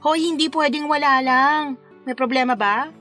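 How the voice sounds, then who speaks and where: High-voiced, frank young woman friend, acted. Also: moderate pace, over music, natural voice. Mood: playful. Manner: teasing, animated, colloquial. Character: young female wedding planner, playful, frank, concerned friend. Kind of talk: radio drama dialogue.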